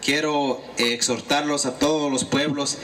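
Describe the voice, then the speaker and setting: A man giving a fluent, steady consecutive interpretation at a public gathering. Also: medium pitch, measured pace. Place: outdoor gathering.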